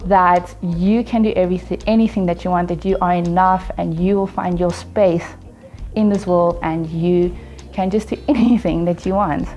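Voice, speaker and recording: A woman speaks to camera as an interviewee, earnest and encouraging. Her voice sits a little low and sounds mellow and warm. Soft music plays faintly behind her. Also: muffled recording. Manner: earnest, motivational, building to encouragement. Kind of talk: personal reflection to camera.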